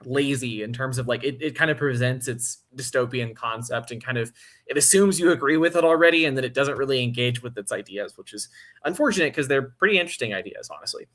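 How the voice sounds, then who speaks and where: A man hosting a casual film livestream, talking in a halting, laid-back way full of restarts. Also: medium pitch, a bit bright. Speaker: podcast host.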